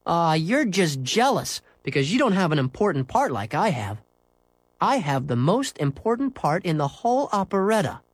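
A storybook narrator voicing a defiant, exasperated grade-school boy who protests and yells back. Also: mid-range voice, sing-song, normal speed, no room sound, pitched above the performer's own voice. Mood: boastful. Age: child. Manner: desperate, yelling protests and retorts. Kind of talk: children's storybook character voice.

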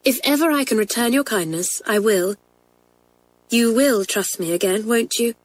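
A woman's voice: on the high side, moderate pace, voice pushed up, natural voice. A woman voicing a proud but remorseful schoolgirl who speaks in a sincere, subdued way in a storybook reading.

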